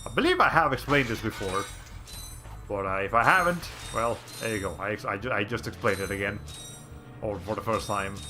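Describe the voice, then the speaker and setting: A male streamer with a raspy voice giving casual, rambling commentary while playing a game, often restarting his sentences. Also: lowish voice, a little fast, music in the background. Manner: rambling, chatty, frequent restarts.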